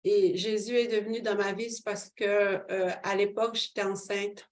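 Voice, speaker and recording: A woman's voice: medium pitch, normal speed. A middle-aged woman, giving a fervent personal faith testimony as an interview guest, with many fillers. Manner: fervent, expressive, with frequent fillers and laughs.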